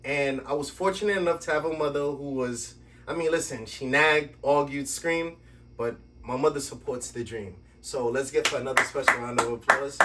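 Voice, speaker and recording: A man hosting a podcast from a basement home studio, giving lively, playful introductions and questions. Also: mid-range voice, normal speed, far from the mic, background noise. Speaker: podcast host. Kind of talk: podcast interview.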